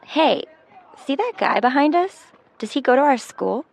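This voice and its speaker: Casting: woman as curious, chatty teen girl for true-crime audio drama; high voice with some creak. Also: very animated, normal speed, full character voice, low-quality recording. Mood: bright, curious. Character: chatty teenage girl, curious about boys.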